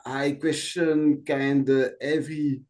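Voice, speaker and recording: A man from Belgium, a guest in a casual podcast talk, speaking earnestly with many pauses and restarts in a language that is not his first. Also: medium pitch, level tone, a little mellow. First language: not English. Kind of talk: casual conversation.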